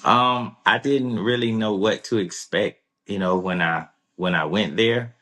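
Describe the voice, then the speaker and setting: A man answering interview questions in a relaxed, rambling way, full of fillers and restarts. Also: fairly low pitch, a little slow. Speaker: guest.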